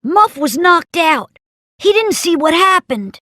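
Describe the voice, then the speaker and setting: A woman voicing a cheeky, excitable young boy in an earnest, eager way for a children's animated storybook. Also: extremely high pitch, takes it slowly, dry recording, studio quality, heavily acted. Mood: urgent, anxious.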